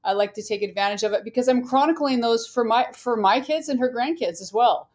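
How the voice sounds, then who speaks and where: A woman's voice: fairly high pitch, fairly quick, darkish tone. A woman in her sixties from Arizona, a fitness trainer guest answering interview questions in an upbeat way and laughing easily. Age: 60s.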